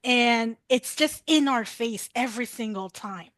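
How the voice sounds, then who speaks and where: A woman's voice: on the high side, unhurried, a bit bright, no echo, studio quality. A woman with a French accent asking a coach a question, hesitant and searching for words. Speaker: coaching participant.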